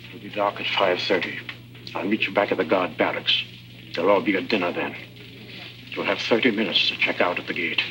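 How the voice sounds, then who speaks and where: An actor playing a guarded, businesslike prison-camp server and secret contact, giving terse instructions in 1950s radio drama style. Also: male voice, sits low, brisk, long pauses, natural voice. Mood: conspiratorial. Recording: very noisy vintage radio recording.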